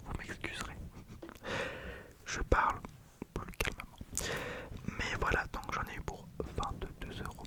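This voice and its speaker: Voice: measured pace, long pauses. A young adult vlogger whispering a rambling vinyl haul late at night, tired and often correcting themselves.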